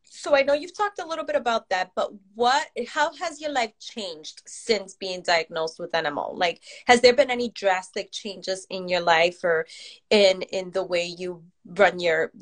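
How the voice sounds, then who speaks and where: A woman's voice: fairly low pitch, steady tone, moderate pace. A woman interviewing in a casual, conversational way, with a supportive and agreeable manner. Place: remote live video chat.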